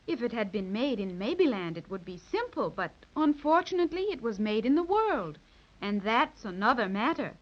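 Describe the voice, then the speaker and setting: An actress playing a kindly, regal fairyland queen, gentle and careful in her words, in a 1930s radio drama. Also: fairly high pitch, sing-song, normal speed. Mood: gently instructive. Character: gracious, kindly fairyland queen, grown woman. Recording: dull lo-fi 1930s radio recording.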